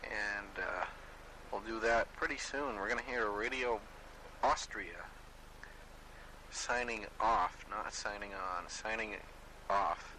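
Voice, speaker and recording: A man's voice: on the low side, slow, pauses often, pushed and bright. A man casually presenting a tour of shortwave radio recordings as the host, in a rambling, informal way. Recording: noisy amateur recording.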